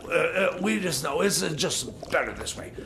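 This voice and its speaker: A man's voice: a little high, lively intonation, normal speed, vocal fry, bright, forceful tone, slight background noise, exaggerated voice. A man playing a scatterbrained, stammering time-machine inventor in a radio comedy. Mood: flustered. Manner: stammering, nervous, repetitive.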